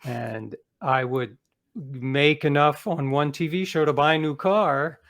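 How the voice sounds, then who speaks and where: A man's voice: on the high side, steady tone, slow, fairly bright tone. A middle-aged man speaking as an interview guest, telling anecdotes conversationally with self-deprecating asides. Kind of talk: casual anecdotal storytelling.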